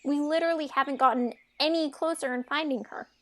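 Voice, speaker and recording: A woman's voice: high-pitched, fairly even intonation, fast, fairly bright tone. A woman playing a sarcastic, exasperated young fairytale heroine in an audio drama, talking in casual modern phrases.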